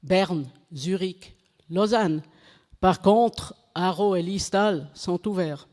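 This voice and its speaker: Slow-speaking woman in a reverberant hall. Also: lowish voice, a little mellow. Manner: French is not her first language, halting with restarts and self-corrections. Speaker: conference speaker. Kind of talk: prepared conference talk. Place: conference hall.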